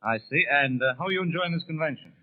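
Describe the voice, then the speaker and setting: A tinny vintage radio broadcast carries an American man working as an interviewer. His voice sits a little high and he talks very quickly. His questioning is formal and courteous, in a 1930s live news style. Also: expressive. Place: convention hall broadcast room.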